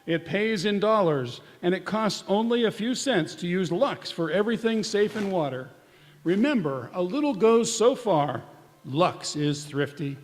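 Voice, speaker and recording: Man playing a high-voiced 1930s radio announcer, echoey hall. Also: lively intonation, measured pace. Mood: formal, promotional. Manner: formal, salesmanlike. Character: polished 1930s radio announcer pitching soap flakes. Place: live community theatre stage in church hall. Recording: echoey hall, long reverb.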